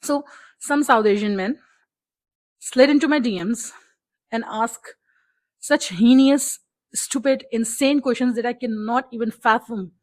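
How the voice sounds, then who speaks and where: A woman from Pakistan whose first language is Urdu, chatting to camera at home in an exasperated, animated vlog style and laughing often. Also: on the high side, measured pace, long pauses, poor audio. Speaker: vlogger. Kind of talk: casual vlog chat to camera. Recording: music playing faintly behind.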